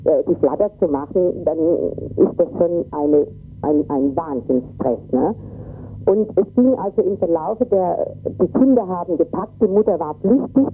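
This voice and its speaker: A shaken woman giving a halting, emphatic eyewitness account over the telephone. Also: medium pitch, breathy edge. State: shaken, in shock. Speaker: eyewitness interviewed by phone. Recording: tinny phone line, faint music.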